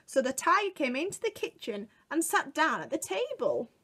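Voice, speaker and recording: A British woman in her twenties telling a picture-book story to young children in an expressive, exaggerated way, recorded indoors at home. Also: high voice, normal speed. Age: 20s. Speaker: children's museum worker reading a story to children. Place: small room at home, indoors.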